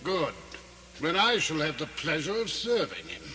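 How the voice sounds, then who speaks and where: An actor playing a sly, cruel nobleman in a radio drama, speaking with pompous mock courtesy and sinister smoothness. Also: a little high, very animated, normal speed, music in the background. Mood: haughty, amused. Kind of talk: theatrical radio drama acting. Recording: noisy vintage radio drama recording.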